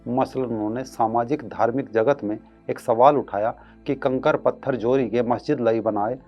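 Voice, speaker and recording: A man's voice: mid-range voice, steady tone, normal speed, dull audio, faint music behind. A man hosting an online commentary show, reading a scripted piece with emphatic, rhetorical delivery.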